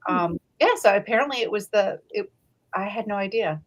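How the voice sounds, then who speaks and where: A woman's voice: mid-range voice, expressive, a little fast. A woman hosting a casual book club chat, effusive and fond of telling anecdotes. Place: live online video chat.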